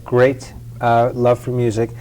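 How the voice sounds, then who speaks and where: A man's voice: mid-range voice, completely flat delivery, unhurried, dark tone, slight background noise. A man hosting an interview, asking friendly, rambling questions full of fillers.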